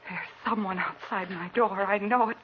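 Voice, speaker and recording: A woman's voice: medium pitch, normal speed, natural voice. An actress playing a frightened wife who fears for her life, in breathless 1940s radio drama style. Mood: terrified. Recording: muffled noisy old radio recording.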